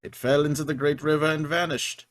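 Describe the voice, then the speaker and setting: An actor playing a solemn storyteller of ancient legend, narrating a script portentously and dramatically. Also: male voice, a little high, level tone, fairly quick, no echo, lightly acted. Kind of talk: scripted narration at a table read.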